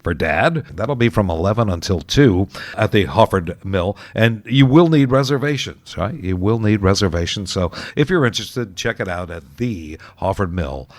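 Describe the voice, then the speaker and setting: An American man hosting an oldies radio show, chatting casually in a folksy, halting way between songs and local announcements. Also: lowish voice, animated, no echo. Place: radio studio. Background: music under the voice.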